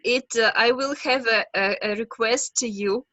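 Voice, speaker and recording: A woman with a Russian accent, a psychologist answering questions on a video call, speaking slowly and carefully with many hesitations. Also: a little high, bright, forceful tone, no room sound, dull audio. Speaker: guest expert psychologist. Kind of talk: webinar Q&A answer.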